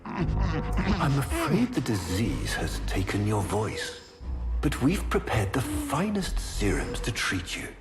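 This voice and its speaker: An actor playing a menacing aristocratic game villain, cruelly courteous, with theatrical, drawn-out, mock-kindly delivery. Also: male voice, medium pitch, wildly swooping pitch, loud music behind the voice. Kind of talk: cinematic video game voice acting.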